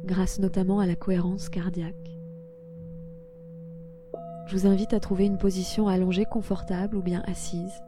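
A woman guiding a relaxation meditation in a soothing, unhurried manner with repetitive instructions. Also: mid-range voice, level tone, noisy background. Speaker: yoga nidra guide. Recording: loud meditative music bed. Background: singing bowl meditation music.

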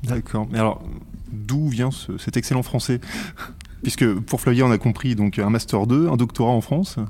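A man hosting a podcast interview, asking polite, measured questions, recorded at a convention center. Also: medium pitch, mellow, a little hiss or hum. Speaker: podcast host.

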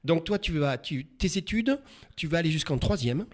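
A man's voice: fairly high pitch, lively intonation, moderate pace. A man hosting a casual interview, chatty, with many repeated words and fillers. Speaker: host. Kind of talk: casual interview, warm banter.

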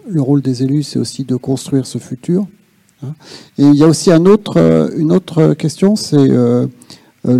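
A man speaking off the cuff at a public discussion, halting and reflective as he searches for his words. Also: medium pitch, creaky, mellow, no room sound. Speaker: audience participant in a policy workshop. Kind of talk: spontaneous spoken remarks at a public discussion.

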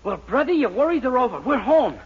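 High-pitched, quick-talking young American man. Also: big pitch swings, gravelly, husky, heavily acted. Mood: scheming, excited. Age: young adult. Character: naive, scheming young man, eager and cheeky. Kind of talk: 1940s radio sitcom acting. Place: radio studio. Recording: muffled, hissy 1940s radio recording.

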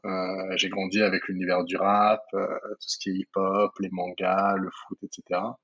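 Guest, man, 30s, from the Paris region; low husky voice, halting with fillers, muffled lo-fi recording. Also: level tone, fairly bright tone. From France, Paris region. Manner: hesitant, many fillers and restarts. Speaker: guest entrepreneur. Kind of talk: casual interview talk.

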